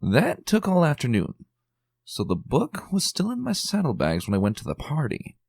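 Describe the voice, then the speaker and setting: Bookish young pony woman, played by a man, raised pitch, earnest, lively. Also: normal speed, gravelly, dry recording, full character voice. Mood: recalling. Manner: earnest, mildly exasperated.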